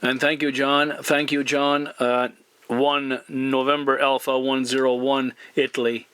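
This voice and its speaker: A man working as a CB radio operator, speaking courteously and deliberately in formal radio procedure, often spelling with a phonetic alphabet. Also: mid-range voice, flat delivery, a bit bright, clean tone, dry recording. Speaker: CB radio operator and video channel host.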